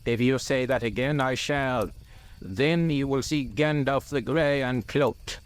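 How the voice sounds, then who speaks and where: A man voicing a grave old male wizard in a storybook, stern and patient, with a quiet, measured manner. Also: mid-range voice, clean tone, voice pushed up, close to an everyday voice. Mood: threatening.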